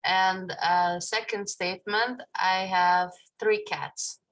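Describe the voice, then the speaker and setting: A woman with a bright, clear tone speaks on a group video call. Her manner is relaxed and she laughs easily. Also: medium pitch, slow. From Brooklyn, New York. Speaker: participant.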